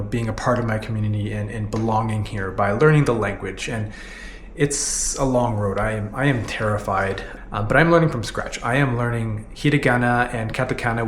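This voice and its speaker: Canadian man in his 30s, candid vlogger; echoey room, light background hum. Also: mid-range voice. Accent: Canadian English. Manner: earnest, candid, frequent "you know" fillers. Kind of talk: reflective personal vlog narration.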